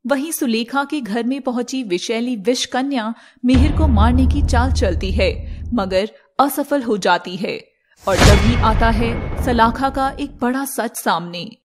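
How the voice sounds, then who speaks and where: A woman storyteller with a fairly high voice reads a scripted cartoon fairy tale. There is clear noise behind her narration. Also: takes it slowly.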